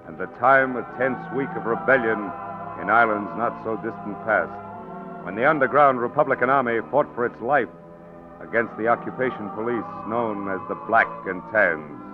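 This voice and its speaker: A male narrator tells a 1940s radio drama with grave, deliberate phrasing. His voice is fairly low. Music plays behind him, and the sound is thin and tinny like an old broadcast. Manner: solemn, portentous, deliberate phrasing.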